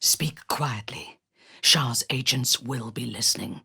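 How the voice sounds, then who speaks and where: Husky, imperious dark elf warrior woman. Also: extremely low pitch, no room sound, studio quality, exaggerated voice. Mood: low, intimate. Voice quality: husky, breathy. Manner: imperious, deliberate, formal diction. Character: haughty, ruthless drow elf warrior woman, commanding and menacing. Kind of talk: video game voice acting, dramatic character lines.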